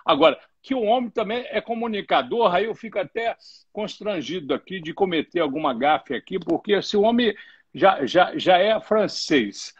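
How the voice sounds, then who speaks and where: A man's voice: sits high, animated, moderate pace, breathy edge. A middle-aged man hosting a live interview from home, chatty and joking and stumbling over his words. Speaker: host. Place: home room, indoors. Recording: muffled lo-fi live stream.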